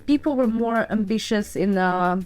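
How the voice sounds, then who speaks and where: Woman guest with a mid-pitched voice. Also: normal speed, a little mellow, soft background music. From Belarus and Ukraine. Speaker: podcast guest, fitness entrepreneur. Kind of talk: casual interview storytelling.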